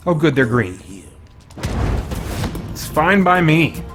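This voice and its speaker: A man's voice: a little high, very animated, slow pace, music in the background. A man giving casual video game commentary as a reviewer, thinking aloud in informal language with mild exclamations.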